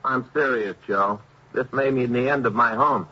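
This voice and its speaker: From a dull vintage radio broadcast comes an earnest police partner with a very husky, rough voice at a medium pitch. He frets in a homely way, repeating himself like a harried husband in a 1950s crime drama. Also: normal speed, sharp tone. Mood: worried. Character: earnest, fretful married male police partner. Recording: muffled old radio recording.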